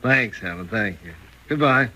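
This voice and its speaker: An actor playing a domineering, scheming husband in a 1940s radio thriller, with an insistent theatrical delivery. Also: male voice, lowish voice, expressive, normal speed, bright, forceful tone. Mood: smooth, evasive. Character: domineering, smooth-talking, scheming husband, coldly intense. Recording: noisy old 1940s radio recording.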